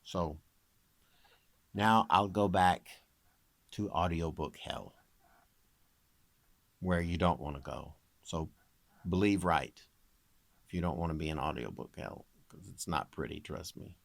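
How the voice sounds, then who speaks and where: Male online creator, low deep voice, informal wry chat, very little room sound. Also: a little slow, creaky, pauses often, no room sound. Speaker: amateur singer and video creator presenting own recording. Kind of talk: casual chat to viewers.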